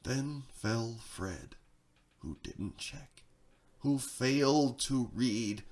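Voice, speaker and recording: A man reading a rhyming story theatrically, swinging between hushed and excited delivery, with a gravelly creak in the voice. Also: medium pitch, very slow. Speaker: narrator, storyteller.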